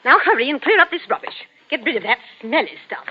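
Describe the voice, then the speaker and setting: A high, animated voice of a bossy middle-aged wife in an old radio play. She nags and orders curtly, with swooping emphasis. The recording is dull and vintage. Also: quick pace, slight background noise. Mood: commanding. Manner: domineering, curt, nagging. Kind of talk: old-time radio drama acting.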